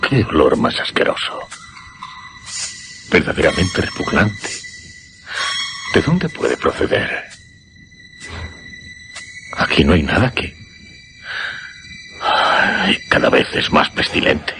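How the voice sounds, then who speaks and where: An actor with a Castilian Spanish accent playing a fearful, haunted magnetizer, halting and repeating himself in a dramatic radio suspense style. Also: male voice, a bass voice, wildly swooping pitch, pushed and bright, slight background noise, heavily acted. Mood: mounting terror. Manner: halting, breathy repetitions, dramatic. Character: haunted, fearful male magnetizer shaken by horror.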